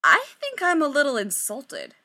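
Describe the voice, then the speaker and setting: Mock-offended young man, voiced by a woman, scoffing, lively pitch. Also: normal speed, full character voice. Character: young male bandmate, scoffing.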